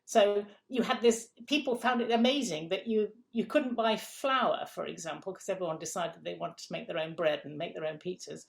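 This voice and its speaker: A woman's voice: lowish voice, fairly even intonation, normal speed, vocal fry, few pauses. A middle-aged woman from England, a guest in an interview, explaining food systems step by step with emphatic repeats.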